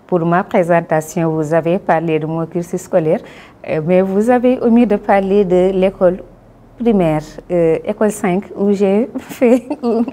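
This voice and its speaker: A Senegalese woman being interviewed as a guest, speaking French in a measured, formal way with frequent hesitations; her first language is Wolof. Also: on the low side, dark tone. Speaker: guest, publisher and Wolof teacher. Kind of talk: interview answers.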